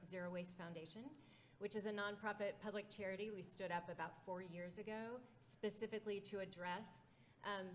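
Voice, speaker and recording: A woman speaking as a panelist at a conference, measured and steady with frequent fillers. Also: mid-range voice, slight background noise. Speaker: panelist, foundation president.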